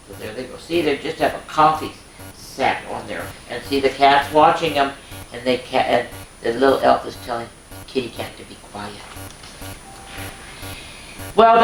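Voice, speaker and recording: A woman hosting a children's storytime, reading a picture book aloud and adding homely, chatty asides for the young listeners. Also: low voice. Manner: homely, chatty, exclaims and points things out to children. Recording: echoey room with background music.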